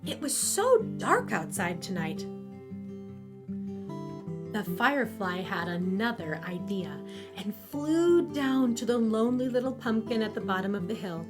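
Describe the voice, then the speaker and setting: Lady reading a kids story, slow n expressive, high voice, guitar music under it. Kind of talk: scripted children's story narration.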